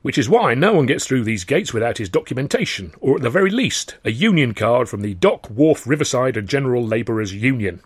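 A man's voice: mid-range voice, expressive, a little fast, few pauses, husky, no room sound, clean studio sound. A man doing a streetwise dock gatekeeper. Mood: knowing. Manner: long-winded, condescending, mock-formal. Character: gruff adult male dock gatekeeper, streetwise.

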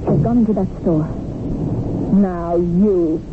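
A woman's voice: on the low side, slow pace. An actress playing a fanatical young female revolutionary kidnapper in a stern, commanding radio drama style. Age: young adult. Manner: stern, zealous, clipped orders. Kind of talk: 1970s radio drama acting. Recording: noisy, tinny old radio broadcast.

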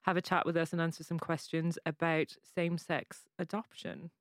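A woman's voice: sits low, level tone, normal speed, dry recording, studio quality. A woman from Cork with an Irish accent, co-hosting a podcast, telling stories in casual chat and swearing freely. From Ireland. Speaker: podcast co-host.

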